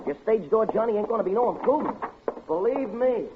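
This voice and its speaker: A man's voice: sits high, lively intonation, fast. An actor playing a pushy, slangy backstage man hitting on a showgirl in a 1950s radio drama. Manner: slangy, wheedling come-ons. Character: pushy, sleazy backstage wolf. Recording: tinny old radio broadcast with hiss.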